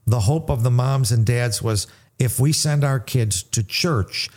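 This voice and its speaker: Mellow-voiced man with reflective stories. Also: mid-range voice, a little slow. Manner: reflective, tells personal stories to make his point.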